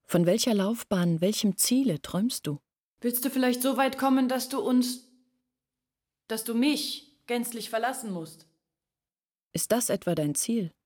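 An actress playing an earnest village woman confiding family secrets in a radio play, speaking deliberately and at times dropping to a near-whisper. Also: on the high side, expressive, a little mellow, no echo, clean studio sound. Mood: urgent, reproachful.

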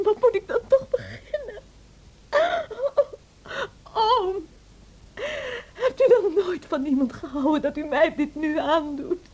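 A woman playing a devoted, spirited young daughter in a radio play, speaking with emotional, deliberate intensity. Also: very high-pitched, warm tone. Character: desperate, devoted, defiant young daughter and bride.

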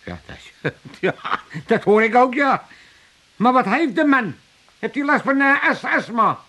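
A man's voice: very high-pitched, lively intonation, measured pace, pushed and bright, full character voice. A male actor playing a scrounging old pensioner father, needling and blustering in a radio comedy. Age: older. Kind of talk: 1980s comedy radio drama acting.

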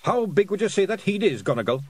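A tiny warrior pixie with a broad Scottish accent. He is belligerent and boisterous, speaking in thick dialect. The voice sits high and swings widely, as in a lively storybook. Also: fast talker, breathy edge, dry recording, heavily acted. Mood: conversational. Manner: boisterous, blustering, dialect-heavy speech.

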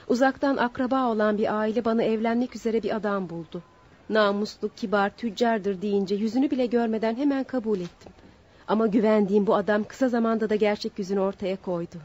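A woman's voice: medium pitch, a little mellow, close to an everyday voice. An actress playing an emotional, pleading woman on trial for killing her husband in a radio drama. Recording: dull lo-fi radio drama recording.

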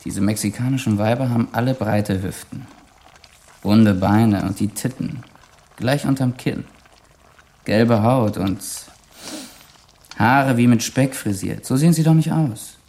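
Cocky drifter with a mellow voice. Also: male voice, mid-range voice, a little slow, pauses often. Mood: flirting, brash. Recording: faint kitchen sizzle and ambience.

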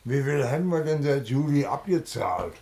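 An actor playing a slow, timid working-class husband in a radio play, grumbling sullenly. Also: male voice, mid-range voice, animated, background noise, lightly acted. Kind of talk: stage-comedy radio play acting in dialect.

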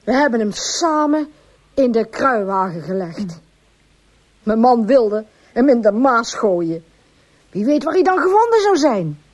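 Radio drama role: pleading farmer's wife, high voice, very animated, emotional and earnest. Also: female voice, a little slow. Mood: distressed, confessing. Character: distraught, pleading farmer's wife confessing all.

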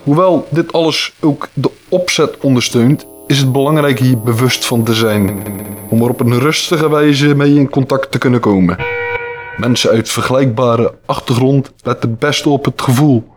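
A man's voice: mid-range voice, moderate pace. Echoey male narrator over music. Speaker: podcast host and narrator. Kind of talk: scripted atmospheric narration.

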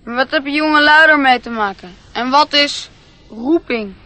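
An earnest little boy in a radio play. The voice is high and childlike, curious and trusting. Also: moderate pace, pushed and bright. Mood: wondering. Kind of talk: radio drama acting.

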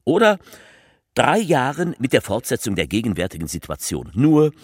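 A man playing a political speechmaker in a theatrical radio piece, addressing the people with halting, emphatic, repetitive rhetoric. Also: medium pitch, wildly swooping pitch, no echo. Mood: fervent, declamatory.

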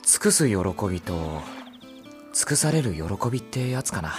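A woman's voice: rumbling low, sing-song, moderate pace, over music. A woman playing an earnest, caring young man with casual boyish speech in a Japanese audio drama. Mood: earnest, scheming. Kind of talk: Japanese visual novel audio drama acting.

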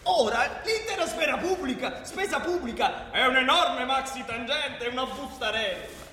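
A man's voice: very high voice, animated, moderate pace, pushed and bright, full character voice. A man performing an indignant satirical narrator in a radio play, declamatory and sardonic, drawing out his lists with emphatic bursts. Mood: indignant, mocking. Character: male satirical narrator, indignant at corrupt politics. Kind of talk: theatrical storytelling monologue for radio theatre. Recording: echoey hall, faint noise.

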